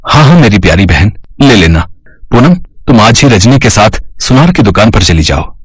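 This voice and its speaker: A man playing a protective, stern but loving elder brother in a melodramatic cartoon story, with an emphatic, husky delivery. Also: lowish voice, sing-song, normal speed, no room sound. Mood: indulgent. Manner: emotional, emphatic.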